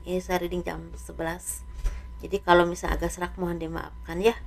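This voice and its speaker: A slightly hoarse woman doing a casual tarot reading, speaking softly and letting her phrases trail off. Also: on the low side, flat delivery, fairly quick, slight background noise. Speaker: tarot reader.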